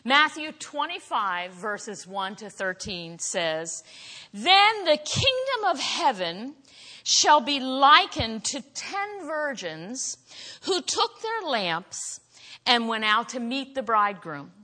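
A woman preaching a sermon in a church, with a naturally loud, earnest voice that she says hardly needs the microphone. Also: fairly high pitch, very animated, slow. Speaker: guest preacher. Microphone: handheld or stand microphone. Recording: church hall reverb.

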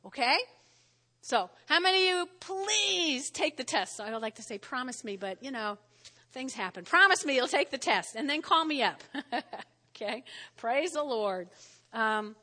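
A woman pastor with a New Jersey accent, preaching a sermon in a lively, conversational way and often checking in with "all right?". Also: sits high, moderate pace. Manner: lively, spells words out, frequent "all right?" check-ins. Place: church sanctuary. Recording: long hall reverb.

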